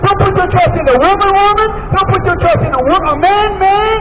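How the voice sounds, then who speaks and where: High-pitched American man preaching on the street. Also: fairly quick. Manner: emphatic, repetitive, chanting phrases over and over. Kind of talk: street sermon, impassioned exhortation. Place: outdoors on a busy city plaza by a street. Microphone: handheld microphone through a portable speaker, heard from a distant camera. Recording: distant, dull outdoor recording with street and crowd noise.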